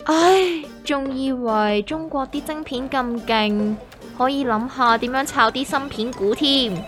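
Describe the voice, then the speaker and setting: A woman with a Hong Kong Cantonese accent playing a naive, excitable novice stock investor in a comedic radio drama. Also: high-pitched, measured pace. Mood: disappointed. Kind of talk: scripted comedic dialogue. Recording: music under the voice.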